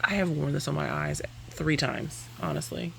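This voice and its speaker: A woman's voice: low voice, fairly even intonation, moderate pace, gravelly, slight background noise, rough recording. A woman chatting casually through a makeup review, rambling and correcting herself, laughing between sentences. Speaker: beauty vlogger.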